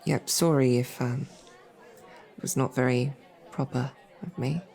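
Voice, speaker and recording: A woman playing a lovestruck royal knight in a romantic fantasy audio roleplay, sweetly awkward, halting and playfully snarky. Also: rumbling low, fairly even intonation, darkish tone, poor audio. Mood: flustered, bashful. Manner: halting, flustered, rambling.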